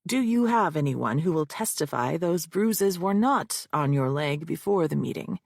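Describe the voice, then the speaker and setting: A woman reading as a gravelly, needling male defense lawyer. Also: deep voice, expressive, moderate pace, darkish tone, no echo, clean studio sound, full character voice. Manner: cold, pointed, needling questions. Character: aggressive male defense lawyer cross-examining. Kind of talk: audiobook character reading.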